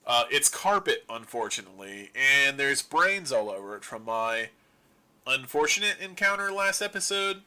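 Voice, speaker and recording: Guy acting as a sarcastic zombie apocalypse survivor guy, very bright crisp-edged voice, rambling casually on his radio show, audio drama. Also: mid-range voice, big pitch swings, normal speed. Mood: joking. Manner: sarcastic, rambling, self-deprecating humor. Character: wry young man surviving a zombie apocalypse, broadcasting alone.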